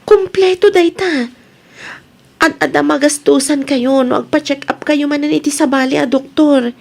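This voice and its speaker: Woman acting a high-voiced, nagging young relative. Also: fairly even intonation, moderate pace, gravelly, a little mellow. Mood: worried, scolding. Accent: Ilocano. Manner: nagging, admonishing. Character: concerned, scolding younger female relative of sick woman. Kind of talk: Ilocano radio drama acting.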